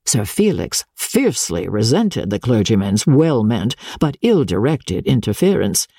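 A woman with a low, husky voice gives a dramatic reading of classic literature, with deliberate phrasing and formal diction. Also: very animated, mellow, no echo, clean studio sound. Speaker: audiobook narrator and radio reader.

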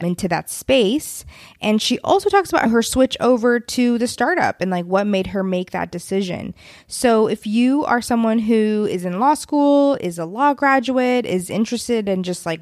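A woman's voice: medium pitch, no room sound, studio quality. A woman hosting a career podcast who also works as a career coach, talking in an upbeat, enthusiastic way.

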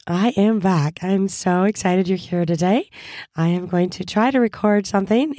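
A hoarse woman hosting a casual solo podcast, chatty and upbeat with frequent laughs, recovering from a cold. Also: mid-range voice, darkish tone, dry recording, clean studio sound. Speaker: podcast host.

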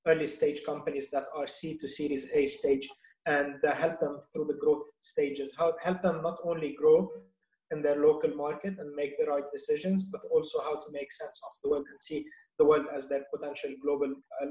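A middle-aged man from Lebanon, a business guest answering interview questions in a measured way, pausing often with fillers. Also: fairly high pitch, a little mellow, roomy sound, rough recording. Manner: measured, with many fillers and restarts. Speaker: guest, venture investor.

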